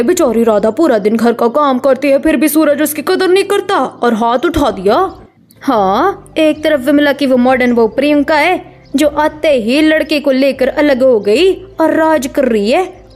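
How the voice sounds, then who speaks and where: A woman voicing a gossipy middle-aged neighbour in a family drama story, pitying and disapproving, with drawn-out tattling. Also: sits high, a little mellow. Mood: gossiping, pitying.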